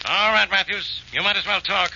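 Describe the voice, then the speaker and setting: An American actor playing a gruff, stubborn, blustering police inspector in an old-time radio detective drama. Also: male voice, sits high, moderate pace, over loud music. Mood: accusing, brusque. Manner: brusque, badgering. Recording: dull, hissy vintage radio recording.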